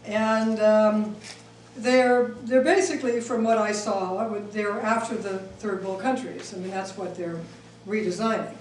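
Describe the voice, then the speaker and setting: A woman's voice: mid-range voice, steady tone, unhurried, darkish tone. A middle-aged woman raised in Switzerland, giving a talk and taking audience questions, with many ums and restarts. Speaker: speaker. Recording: echoey room, mic far off, faint noise.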